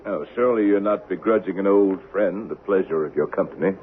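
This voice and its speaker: An actor playing a patient stranger bent on revenge, calm, measured and quietly threatening, in old-time radio drama style. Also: male voice, low voice, natural voice. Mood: quiet menace. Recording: muffled, hissy vintage radio recording.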